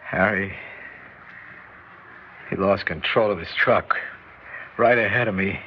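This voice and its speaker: An actor playing a rough, grieving and angry truck driver in a 1950s radio drama. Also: male voice, deep voice, takes it slowly, a little hiss or hum. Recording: dull old radio recording.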